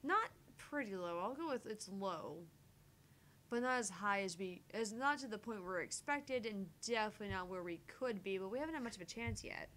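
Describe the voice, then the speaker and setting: A woman's voice: mid-range voice, expressive, moderate pace, a bit bright, poor audio. A woman co-hosting a gaming livestream, chatting casually and reading game text aloud.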